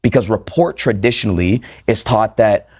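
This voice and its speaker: A man's voice: lowish voice, a little mellow, slightly rough. A young man from Canada, a sales trainer talking casually on a podcast, punchy and profane, tagging "right?" onto his points. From Toronto, Canada. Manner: fast, punchy, swears casually, frequent "right?".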